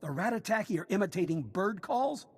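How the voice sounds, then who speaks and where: An actor playing a frustrated, battle-worn prison officer in a video game, brisk and practical. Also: male voice, sits high, lively intonation, a little hiss or hum. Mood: businesslike. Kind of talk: video game character acting.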